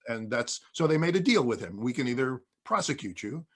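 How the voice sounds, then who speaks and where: Husky-voiced man explaining informally. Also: mid-range voice, very animated, brisk, a bit bright. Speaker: podcast panelist.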